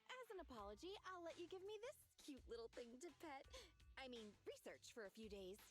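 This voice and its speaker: An earnest young woman mechanic from a video game, with a highish, lively voice. She is passionate and expressive and dotes on her machines. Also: brisk. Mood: indignant, playful. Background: music.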